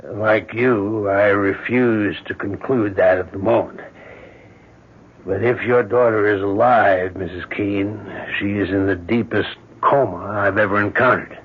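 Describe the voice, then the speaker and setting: An actor playing a grave, measured family doctor, formal and deliberate, in a 1970s radio drama. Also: male voice, fairly low pitch, steady tone, husky. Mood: grave, baffled. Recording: dull vintage radio broadcast.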